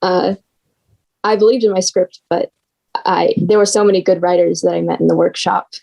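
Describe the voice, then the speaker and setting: A woman's voice: on the low side, steady tone, moderate pace, gravelly, mellow. A fourteen-year-old girl from Oklahoma answering casually as an interviewed contest winner on a video call. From Oklahoma, United States. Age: 14, teenager.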